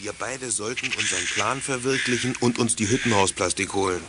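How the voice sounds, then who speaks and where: Actor as gruff scheming crook, noisy recording. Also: male voice, fairly low pitch, fairly quick, natural voice. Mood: scheming, grumbling. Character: grumbling, scheming crook mechanic, adult man. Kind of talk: 1980s children's radio play acting.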